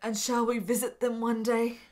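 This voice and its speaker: Actress as a high-voiced, melancholy, lovesick frail woman. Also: level tone, moderate pace, a little mellow, close to an everyday voice. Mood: dreamy. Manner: earnest, melodramatic, formal literary phrasing. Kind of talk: radio drama acting.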